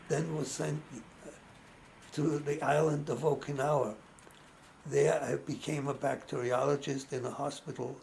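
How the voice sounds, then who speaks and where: A very old man reads his own life story aloud at an unhurried, careful pace. His voice is gravelly and worn, and he often stumbles and restarts words partway through a phrase, keeping on steadily. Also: mid-range voice, a little hiss or hum, rough recording. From Austria. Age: 90s. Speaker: memoir narrator.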